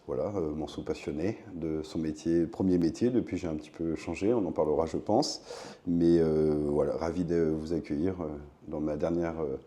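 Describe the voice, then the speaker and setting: A man with a deep, extremely dark and relaxed voice. He is an interview guest from France, explaining his work as a shop owner in a casual, conversational way. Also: moderate pace. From Le Mans, France.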